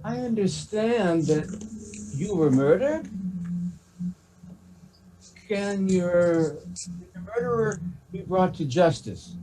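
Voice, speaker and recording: A man acts out an earnest villager addressing a spirit in an amateur play. His voice is high-pitched and soft-toned, with slight noise behind it. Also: expressive, slow, long pauses, poor audio. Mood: solemn. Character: earnest 19th-century neighbor questioning a murdered spirit. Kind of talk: amateur stage play reading.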